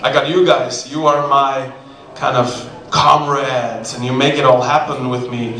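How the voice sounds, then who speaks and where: A man's voice: mid-range voice, fairly even intonation, a little slow. A man from Iceland with an Icelandic accent, a singer-songwriter chatting and joking with his audience between songs at a live show. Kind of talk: stage banter between songs. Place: small dark live venue. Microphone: far camera in the audience. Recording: roomy distant live video with loud guitar. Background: guitar music.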